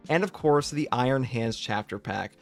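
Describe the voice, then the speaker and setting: A man's voice: mid-range voice, normal speed, gravelly, faint music behind. A man hosting a gaming channel, casually commenting and reading out update notes.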